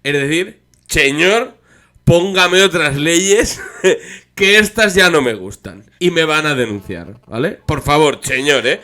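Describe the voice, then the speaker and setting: A man's voice: fairly high pitch, expressive, takes it slowly, bright, forceful tone. A man co-hosting a podcast with casual, ironic, joking political banter. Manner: joking, ironic, laughs often. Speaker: podcast co-host.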